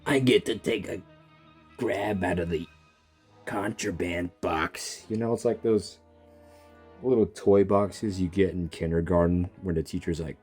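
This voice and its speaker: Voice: low voice, measured pace, a little mellow, breathy edge, faint music behind. A gamer giving casual reaction commentary on a game story, bantering in a teasing, exasperated way.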